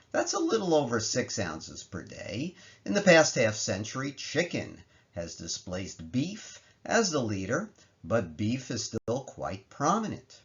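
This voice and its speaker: A middle-aged man, a medical professor, narrating a slide lecture in plain language with emphatic phrasing and rhetorical questions, on a slightly dull recording. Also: medium pitch. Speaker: medical professor giving a presentation.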